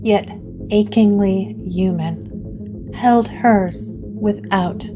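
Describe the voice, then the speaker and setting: A woman narrating a gothic audio drama from a script, in a deliberate storytelling manner. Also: medium pitch. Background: music.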